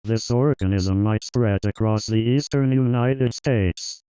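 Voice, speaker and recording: Mellow, smooth male news anchor. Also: fairly low pitch, unhurried, no echo, low-quality recording. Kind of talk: scripted fictional news bulletin.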